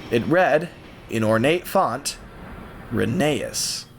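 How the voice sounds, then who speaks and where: A man narrating a scripted story in a theatrical, measured way, with deliberate pauses. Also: medium pitch, lively intonation, gravelly, slight background noise.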